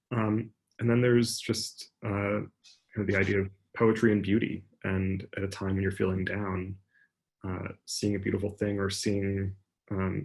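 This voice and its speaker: A man's voice: low voice, creaky, a little mellow, rough recording. A man speaking as an artist on an online panel, reflecting aloud in a conversational way. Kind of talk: reflective panel discussion talk. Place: online video panel during lockdown.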